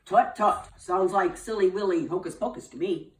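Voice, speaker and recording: A woman's voice: fairly low pitch, moderate pace, far from the mic, lightly acted. A woman voicing a fussy, blustery middle-aged male school principal. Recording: roomy, from off the mic.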